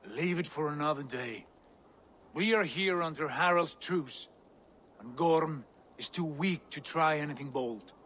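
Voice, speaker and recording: An actor playing a bold, boastful Viking lord in a video game, with a grandiose, commanding delivery. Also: male voice, a little high, a little slow, a bit bright, a little hiss or hum. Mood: calming, firm. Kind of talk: dramatic video game acting.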